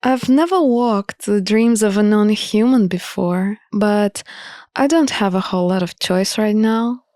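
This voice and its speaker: A woman's voice: medium pitch, unhurried, darkish tone, no room sound, clean studio sound. A woman reading scripted first-person story narration for a visual novel playthrough.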